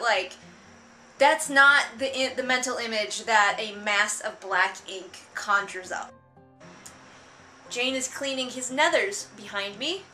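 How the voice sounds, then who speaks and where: Bright, high-voiced sarcastic woman commentator. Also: low-quality recording. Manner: exasperated, mocking, emphatic, sprinkled with swearing. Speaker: book reviewer and vlogger. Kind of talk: casual sarcastic read-along commentary.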